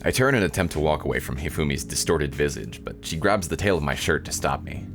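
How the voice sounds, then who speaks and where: Visual novel protagonist: sardonic young guy read by a man, low-pitched, disappointed, dryly narrating. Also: animated, quick pace, fairly bright tone, soft background music, exaggerated voice. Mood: resigned. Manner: dry, incredulous, narrating.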